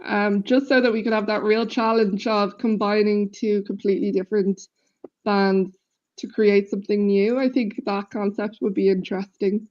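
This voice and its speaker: A woman with a deep voice talks casually about her band, chatty and easygoing, with lots of ums. Her audio comes through a video call and sounds a bit dull and lo-fi. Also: flat delivery, moderate pace, a little mellow. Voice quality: self-described deep voice. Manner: chatty, laughs easily, many fillers. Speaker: band member interviewee. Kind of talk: casual interview chat.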